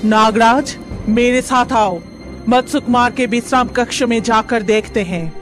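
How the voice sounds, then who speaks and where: A woman's voice: a little high, measured pace, lightly acted. A woman voicing a stern, demanding water queen in a cartoon. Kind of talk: cartoon character acting. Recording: music behind the voice.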